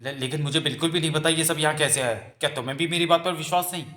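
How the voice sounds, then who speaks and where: Casting: male reader playing young village bride with a secret witchy side, coy, sweet, bright-edged voice, comic-book drama. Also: medium pitch, steady tone, moderate pace, natural voice. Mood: distressed.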